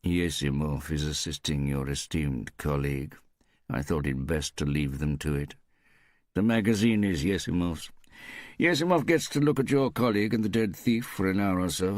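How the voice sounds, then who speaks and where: A man's voice: a bass voice, moderate pace, no echo, clean studio sound. A man voicing a sardonic, teasing woman pathologist with a mischievous, wry manner in an audiobook.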